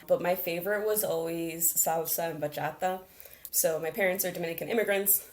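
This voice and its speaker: An earnest young woman speaking in a somewhat low, grounded voice as an interview guest. She talks reflectively and often breaks into light laughter. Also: steady tone, fairly quick. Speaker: programs manager, guest. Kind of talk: interview conversation.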